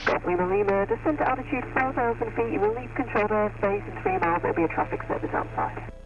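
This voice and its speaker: A woman's voice: on the high side, expressive, quick pace, gravelly. A woman working as an air traffic controller, giving clipped instructions over aviation radio. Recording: muffled crackly radio with loud noise.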